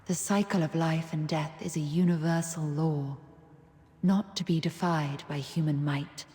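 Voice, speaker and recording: An actress voicing a serene, wise woman guide in a video game, speaking in a gentle, measured, philosophical manner. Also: low voice, steady tone. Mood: solemn, mystical. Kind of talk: solemn video game dramatic monologue. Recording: echoey long reverb, background music.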